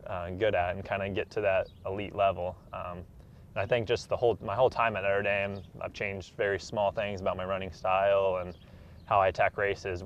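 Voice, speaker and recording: A man, answering casually as an athlete being interviewed. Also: deep voice. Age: 20s. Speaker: interview guest, professional track athlete. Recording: dull, slightly noisy room recording.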